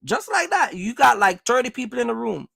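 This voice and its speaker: This is a host with a noticeably creaky voice, recorded dry with very little room sound. The delivery is casual, motivational advice straight to viewers. Points are stressed and repeated, with regular check-ins that the listener follows. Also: medium pitch, sing-song, brisk, fairly bright tone, no echo. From Jamaica. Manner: emphatic, repetitive, checks in with listeners. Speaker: host, trucking business coach.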